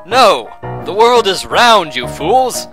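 A man's voice: high-pitched, sing-song, slow, over music, full character voice. A man acting an indignant, sarcastic son with a bitter grudge in a radio drama. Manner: sarcastic, aggrieved.

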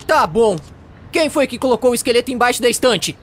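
A man playing an excitable, bickering young gamer in an exaggerated comedy fan dub. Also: extremely high pitch, fairly quick. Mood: desperate.

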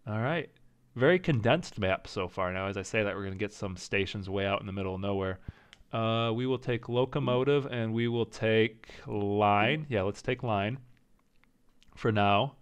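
A man casually commenting as he plays a strategy game, thinking aloud and second-guessing his choices, with a slight rasp and an occasional cough. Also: lowish voice, moderate pace. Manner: thinking aloud, indecisive, self-talking. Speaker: gaming channel host. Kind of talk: casual let's-play commentary.

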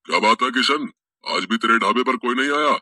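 A man voicing a boastful, mocking rich dhaba owner in an animated story. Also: mid-range voice, fairly quick, no room sound, clean studio sound. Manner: taunting, scornful.

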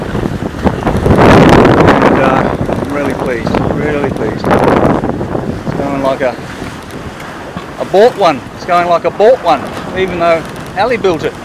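An older man, chatting casually and self-deprecatingly while filming his sail from the deck of a catamaran. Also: on the high side. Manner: relaxed, rambling, self-deprecating, hesitant fillers. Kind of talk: casual self-filmed commentary. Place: on deck of a sailing catamaran, outdoors. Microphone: handheld camera mic. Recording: loud wind noise on camera mic.

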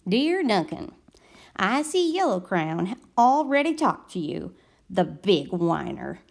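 A woman's voice: mid-range voice, very animated, slow pace, vocal fry, pitched below the performer's own voice, exaggerated voice. A woman voicing a scornful, bickering crayon in a children's storybook, haughty and argumentative. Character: scornful, bickering orange crayon, sure it's right. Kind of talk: children's storybook read-aloud.

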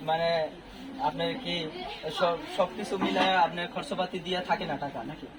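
A man's voice: high-pitched, fairly even intonation, moderate pace, a crowd in the background. A man with a Bangladeshi accent doing a casual interview, asking chatty, prodding questions. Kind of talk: casual street-report style interview. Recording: noisy location with clear background noise.

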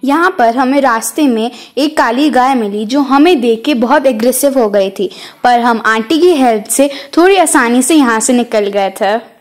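A woman narrates a vlog in a high voice. She is chatty and casual, dropping English words into her talk, with music playing faintly behind her. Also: normal speed. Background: background music.

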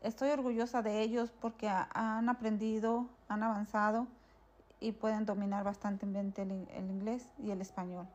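A middle-aged woman from Mexico, a mother speaking about her children in steady, plain at home. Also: medium pitch, brisk, dull audio. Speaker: parent of students. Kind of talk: documentary interview. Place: living room at home.

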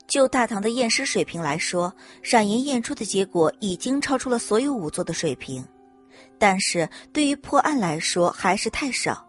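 A woman's voice: mid-range voice, expressive, moderate pace. Woman narrating a story over music. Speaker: audiobook narrator.

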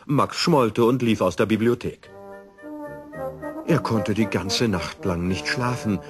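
A man reading a children's story as a storyteller, in an expressive, theatrical way. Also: on the low side, slow pace, music in the background. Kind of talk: scripted children's story narration.

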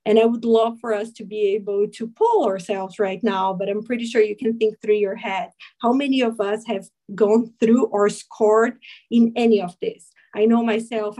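Woman, Brazilian accent, forties, warm dark tone, steady explaining, video call audio. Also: a little high, unhurried, low-quality recording. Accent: Brazilian Portuguese. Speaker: guest speaker. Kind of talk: presentation to a video meeting.

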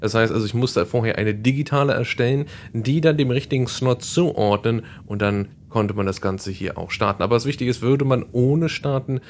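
Guy talking through a stealth game, chatty, middle pitch, bit of background hiss. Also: normal speed, hardly any pauses. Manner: chatty, explains game mechanics. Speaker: Let's Play host. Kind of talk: casual gameplay commentary.

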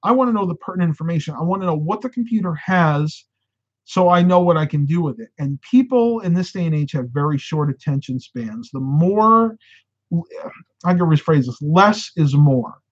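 A man in his thirties hosts a casual live stream from a room at home, giving advice with lively hand gestures. His voice sits a touch high and is starting to turn hoarse. The sound is slightly dull and lo-fi, as if recorded through a desk webcam. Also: normal speed. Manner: animated, gestures with hands while talking. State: getting hoarse.

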